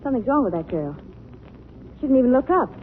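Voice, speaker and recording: An actress playing a breezy, easily alarmed young companion of a crime fighter, in 1940s radio drama style. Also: female voice, a little high, big pitch swings, fast. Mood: alarmed, concerned. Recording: noisy, narrow-band 1940s radio recording.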